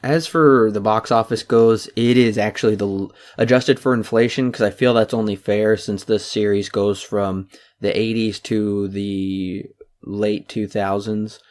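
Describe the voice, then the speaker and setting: A man's voice: on the low side, fairly even intonation, a little slow, a little mellow. A young man co-hosting a podcast in casual chat, talking steadily and reeling off dates and figures. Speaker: podcast co-host.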